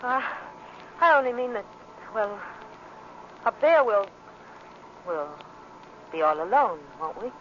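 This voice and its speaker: A muffled old radio recording carries a desperate, vengeful woman. Her high voice swings widely in pitch, slow and halting, in intense 1940s melodrama style. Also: big pitch swings, slight background noise. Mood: nervous, coy. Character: desperate, vengeful woman luring a man to die. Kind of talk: 1940s radio drama acting.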